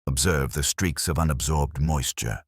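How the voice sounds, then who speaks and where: A man voicing a precise, cool, watchful male detective in an audiobook story, speaking in a measured, analytical way. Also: rumbling low, breathy edge, dry recording, studio quality. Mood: analytical, deliberate.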